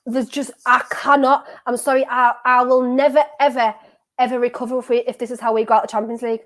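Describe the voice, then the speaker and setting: A woman with a softened County Durham accent hosts a live football watchalong. Her voice sits a little high and she talks in a nervous, excitable ramble, often circling back to the same words. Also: fast talker. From North East England. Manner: anxious, excitable, rambling and self-repeating. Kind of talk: live match reaction chat.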